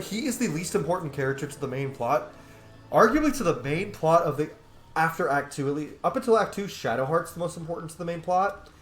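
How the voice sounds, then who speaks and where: A man giving casual gameplay commentary, blunt, opinionated and quick to swear. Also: fairly high pitch. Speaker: gaming streamer. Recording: roomy echo, game music behind. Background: game music.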